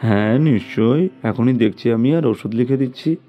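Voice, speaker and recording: A man voicing a brisk, money-minded family doctor in a story. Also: mid-range voice, highs cut off, faint music behind, lightly acted. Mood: brisk, businesslike.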